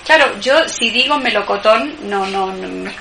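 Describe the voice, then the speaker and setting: A woman's voice: mid-range voice, unhurried, gravelly, bright, forceful tone. A woman with an Argentine accent, a poet talking thoughtfully about her work as an interview guest, often searching for words. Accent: Argentine Spanish. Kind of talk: reflective conversation. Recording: echoey room, background noise.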